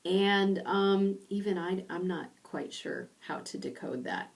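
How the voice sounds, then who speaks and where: A middle-aged woman from rural Indiana giving a recorded online lecture from her home study, explaining slowly with pauses. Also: medium pitch, gravelly, far from the mic. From rural Indiana, USA. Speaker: university lecturer.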